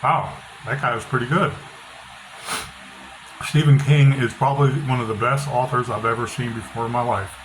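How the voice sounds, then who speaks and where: A middle-aged author talking casually with a loud, bass-heavy voice, blunt and opinionated, often repeating himself. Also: male voice, fairly even intonation, moderate pace. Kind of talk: casual monologue. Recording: noisy background hiss.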